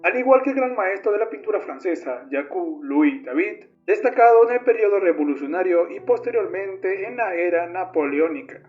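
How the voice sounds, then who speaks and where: A man's voice: high-pitched, animated, normal speed, hardly any pauses. A man reading scripted, documentary-style horror narration as the narrator. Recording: dull lo-fi sound under music. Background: music.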